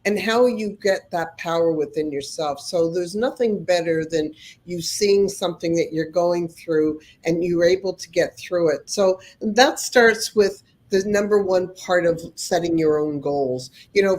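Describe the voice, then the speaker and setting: A woman's voice: fairly low pitch, darkish tone. A woman hosting a motivational podcast, a little nasal from a cold, earnest and prone to repeating words as she starts a thought. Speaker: podcast host. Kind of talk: motivational chat.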